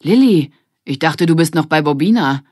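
A woman's voice: low-pitched, expressive, normal speed, darkish tone, husky, no room sound, studio quality. Woman reading as a stern, scolding father. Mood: surprised, brisk. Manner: firm, scolding. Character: stern, irritated father of a young girl. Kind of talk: children's audiobook acting.

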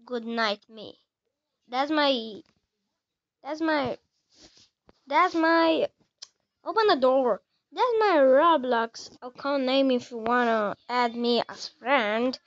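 Young female streamer, halting self-talk, unhurried, thin home-mic sound. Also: high-pitched, highs cut off. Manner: halting, talks to herself while playing. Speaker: gamer playing a horror game. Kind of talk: casual let's-play commentary.

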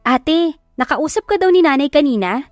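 Woman doing excitable teen little sister, sweet, eager, high-pitched, audio drama, soft music behind. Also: moderate pace, no echo, clean studio sound. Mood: curious. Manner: eager, exclaiming. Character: excitable teenage younger sister, sweet and hungry.

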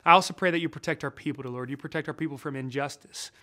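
A man preaching a casual youth sermon, upbeat and chatty, hoarse from losing his voice. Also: fairly high pitch, very fast, bright, forceful tone. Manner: upbeat, chatty, earnest in prayer. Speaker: youth pastor.

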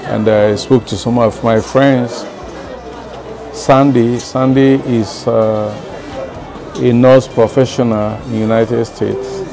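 A man's voice: medium pitch, fairly even intonation, takes it slowly, music in the background, crowd noise. An older man with a Nigerian accent gives informal interview answers, somewhat hesitantly. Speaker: clinic founder and evangelist. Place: outdoors in front of a building, seated.

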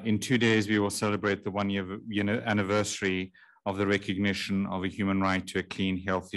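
A middle-aged man with a deep voice, chairing a workshop and giving formal opening remarks into a table microphone in a large conference room, measured and with frequent ums. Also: a bit bright. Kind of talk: formal speech, partly read.

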